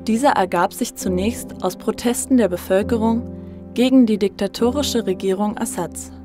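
A woman narrates a documentary in a steady, informative voice-over at a middle pitch. Instrumental music runs clearly beneath her words. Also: moderate pace. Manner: steady, even-toned, informative delivery. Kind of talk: scripted narration.

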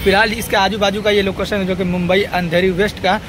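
A man's voice: high voice, flat delivery, fast talker. A young man greeting viewers and pointing out buildings in a chatty way, filming himself with a phone outdoors on a city street. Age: young adult. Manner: chatty, pointing things out while walking. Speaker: vlogger. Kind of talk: casual vlog greeting to camera. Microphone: phone held at arm's length. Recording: street traffic noise behind.

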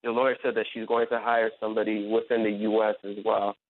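A man's voice: fairly low pitch, little pitch movement, fast. A man, a journalist on a radio panel, arguing his point fluently and earnestly. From Iran, raised in America. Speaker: journalist and blogger, panel guest. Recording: tinny phone line.

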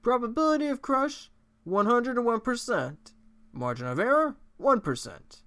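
Flamboyant, swooping robot game-show host. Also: male voice, very high-pitched, big pitch swings, fairly bright tone, voice pushed up, full character voice. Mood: teasing. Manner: theatrical, campy, drawn-out. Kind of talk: video game character impressions.

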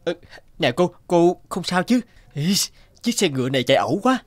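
A man voicing an earnest, gentle young village suitor in a folk-tale cartoon. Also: a little high, big pitch swings, normal speed, dry recording. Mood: concerned. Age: young adult.

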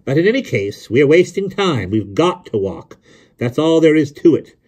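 A man voicing a brisk, bossy, sharp-tongued woman in a storybook reading, with a firm, commanding manner. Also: medium pitch, animated, slightly rough, voice pushed up.

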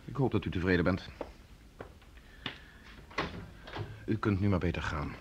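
An actor playing a firm, level-headed professor, speaking formally and precisely in a radio drama. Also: male voice, low-pitched, quick pace, lo-fi sound, a little hiss or hum, close to an everyday voice. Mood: stern, formal.

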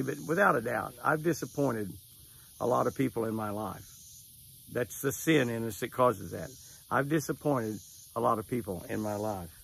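A man's voice: mid-range voice, gravelly, fairly bright tone, slight background noise, rough recording. An older man, telling folksy stories outdoors and repeating key phrases as he gives a devotional and a fishing tip. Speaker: devotional host and angler. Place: lakeside lawn outdoors at sunrise.

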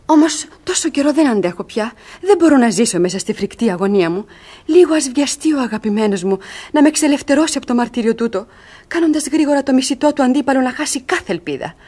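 High-voiced, ardent young heroine. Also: female voice, expressive, warm tone, husky. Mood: pleading, passionate. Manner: theatrical, emphatic, ardent. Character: spirited, cunning young woman in love, classic farce heroine. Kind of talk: Greek radio theatre comedy acting.

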